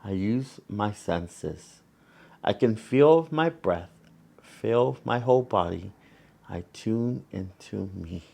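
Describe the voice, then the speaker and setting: A man with a fairly low, creaky voice reads a picture book to children. He goes very slowly and gently, leaving space between phrases and turning to the young listeners with little asides. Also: lively intonation. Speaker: children's storyteller and host.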